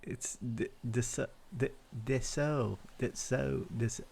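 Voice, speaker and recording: A man's voice: mid-range voice, steady tone, slow pace, gravelly, darkish tone, a little hiss or hum. A man playing a rambling, hesitant easygoing guy. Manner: hesitant, rambling, repeats himself. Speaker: roleplay character. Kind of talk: improvised roleplay chat.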